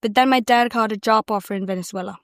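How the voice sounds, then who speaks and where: Higher-voiced young woman, dry sound. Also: fast, no echo. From South America. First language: Spanish and German. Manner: searching for words, restarts, explanatory. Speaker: podcast guest. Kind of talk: casual conversational storytelling.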